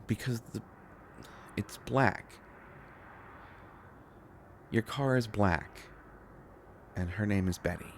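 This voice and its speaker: A man acting a shy, flustered, devoted inventor boyfriend in an intimate roleplay audio drama, talking in halting, stammering rambles. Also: on the low side, vocal fry, slight background noise. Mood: playful, affectionate.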